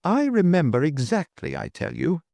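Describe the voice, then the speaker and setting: A man voicing an eager, excitable young duke in a storybook, speaking with insistence. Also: medium pitch, fast, dry recording, voice pushed up, lightly acted. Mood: insistent.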